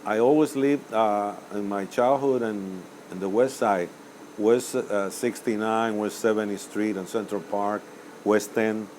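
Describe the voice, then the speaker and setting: An older man from Cuba speaks English. His voice sits a little low, and he talks in a rambling, hesitant way, full of uhs and restarts. A faint hiss sits behind him. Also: fairly even intonation, unhurried, a little mellow, rough recording. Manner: rambling, hesitant with many uhs and self-corrections. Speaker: musician guest. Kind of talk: interview answers.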